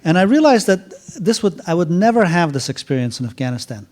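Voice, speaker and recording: A middle-aged man telling a story casually at a university event, halting with many fillers and restarts. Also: high voice, sing-song, a little mellow. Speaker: author speaking at a university event.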